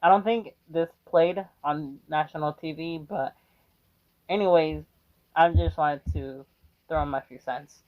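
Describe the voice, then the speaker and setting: A man's voice: fairly high pitch, steady tone, measured pace. A man casually rambling through his reaction with lots of hesitation and fillers. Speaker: reaction video host. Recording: dull lo-fi mic.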